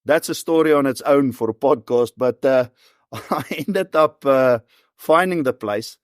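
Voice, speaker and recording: A man's voice: medium pitch, a little slow, clean tone, no room sound, clean studio sound. A man in his forties with a South African accent, telling stories in an easygoing, conversational way as an interview guest. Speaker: professional hunter and former soldier, interview guest.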